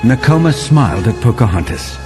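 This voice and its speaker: Settler narrator for kids' read-along , male actor: very animated, vivid, dramatic pauses, clearly audible music underneath. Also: mid-range voice, natural voice. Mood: warm telling. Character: male settler storyteller recounting a winter adventure to John. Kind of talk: dramatic children's story narration.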